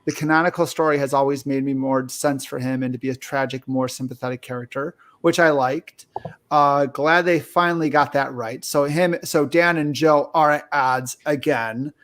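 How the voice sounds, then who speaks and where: A man's voice: medium pitch, steady tone, normal speed, fairly bright tone. A man hosting a podcast, talking casually as he discusses and reviews films. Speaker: podcast host.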